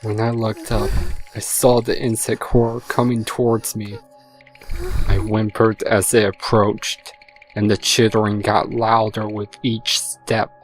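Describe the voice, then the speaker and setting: A man plays a haunted, guilt-ridden stallion confessing his sins. The voice is low and very even, moving slowly and sombrely. It is a dark, dramatic audio story with faint music underneath. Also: close to an everyday voice, poor audio. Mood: haunted, grieving. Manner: sombre, remorseful, measured delivery. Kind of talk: dramatic audio fan fiction reading.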